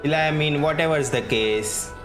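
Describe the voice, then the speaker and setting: A man doing casual playthrough commentary as a gaming streamer, halting as he thinks aloud. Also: fairly high pitch, low-quality recording. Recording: game music behind voice. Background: video game music.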